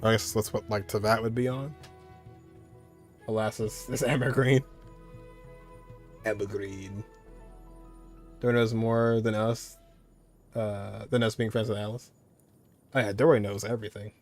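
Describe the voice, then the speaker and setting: A man's voice: fairly low pitch, flat delivery, unhurried, long pauses, a little mellow, soft background music. A man streaming a video game, giving casual, offhand commentary in short reactions and musings.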